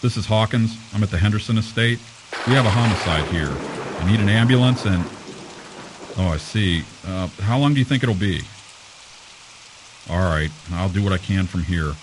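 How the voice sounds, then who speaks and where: A man playing a gruff, irritable police commissioner in an audio mystery drama, brusque, sarcastic and impatient. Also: on the low side, normal speed, long pauses, noisy background, lightly acted. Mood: businesslike, grumpy.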